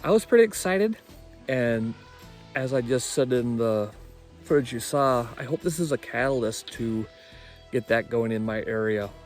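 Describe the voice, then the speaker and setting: A man's voice: mid-range voice, lively intonation, normal speed, soft background music. A man talking casually and enthusiastically in an informal vlog as an amateur radio operator.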